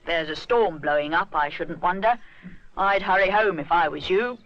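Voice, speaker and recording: A woman's voice: fairly low pitch, moderate pace, dry recording, highs cut off, close to an everyday voice. A woman voicing a genial, avuncular village policeman in a children's story, with folksy phrasing.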